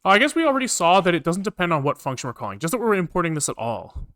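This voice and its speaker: A man's voice: high-pitched, sing-song, racing, a bit bright, no echo, studio quality. A man livestreaming some programming, casually thinking aloud, muttering to himself, repeating phrases and swearing now and then. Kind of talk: casual live coding commentary, thinking aloud. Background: computer keyboard typing and clicking.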